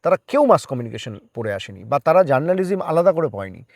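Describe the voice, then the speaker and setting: A man's voice: fairly high pitch, very animated, fast talker, vocal fry, darkish tone, dry recording, clean studio sound. A man answering conversationally as an interview guest, explaining things and mixing in English words. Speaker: guest, part-time journalist.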